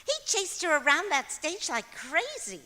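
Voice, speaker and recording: A woman's voice: sits high, very animated, measured pace, pushed and bright, full character voice. A woman playing a sweetly illogical, guileless wife in old-time radio comedy style on stage. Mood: dreamy storytelling. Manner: guileless, earnest delivery of nonsense. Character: scatterbrained, sweetly illogical wife, cheerfully matter-of-fact. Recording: echoey auditorium stage performance.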